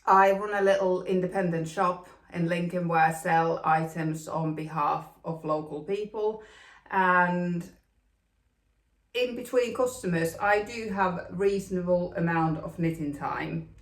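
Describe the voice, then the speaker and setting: A woman's voice: on the low side, measured pace. Chatty Finnish-born woman, echoey room. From Finland. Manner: chatty, frequent ums, upbeat. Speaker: knitting podcast host. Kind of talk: casual chat to camera. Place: home room with plastic extension, rain outside.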